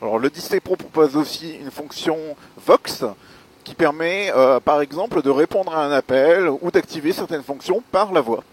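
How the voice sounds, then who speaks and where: A man giving a spoken gear review as a motovlogger, explaining things in a measured, hesitant way with pauses. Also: on the high side, husky. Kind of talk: spoken product review while riding.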